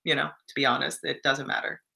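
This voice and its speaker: A woman's voice: a bass voice, flat delivery, a little fast, vocal fry, pushed and bright. A young Canadian woman in casual live stream chat, reflective and encouraging, reading viewer comments aloud.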